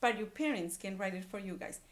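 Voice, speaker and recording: A woman's voice: mid-range voice, lively intonation, brisk, background noise. A woman teacher reading aloud to young children, using simple words, repetition and questions. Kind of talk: animated read-aloud lesson.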